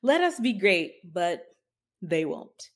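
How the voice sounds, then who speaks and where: A woman's voice: lowish voice, very animated, slow pace. A woman co-hosting a podcast, talking casually and sardonically with emphatic rhetorical questions. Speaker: podcast co-host. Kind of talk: casual opinion talk.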